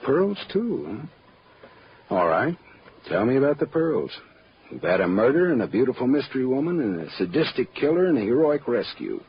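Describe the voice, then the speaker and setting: An actor playing a wry, world-weary private detective in a terse, sardonic 1940s radio noir style. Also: male voice, medium pitch, big pitch swings, moderate pace, a little hiss or hum. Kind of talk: 1940s radio noir acting and first-person narration. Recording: dull old radio recording with loud music.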